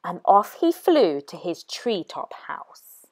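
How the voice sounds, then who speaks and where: A woman reading a children's story aloud in an expressive, theatrical, deliberate manner. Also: fairly low pitch.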